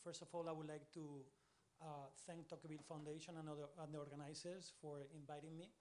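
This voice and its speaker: Male tech speaker, Spanish accent, somewhat high voice, brisk conference talk with fillers. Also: flat delivery, darkish tone, slight background noise. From Spain. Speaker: panel speaker, tech specialist. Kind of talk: conference presentation.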